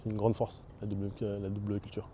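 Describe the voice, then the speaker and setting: Young man, hesitant interview testimony, very mellow low-toned voice, faint outdoor noise. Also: completely flat delivery, fairly quick. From France. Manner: hesitant, many fillers and restarts. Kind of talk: casual testimony.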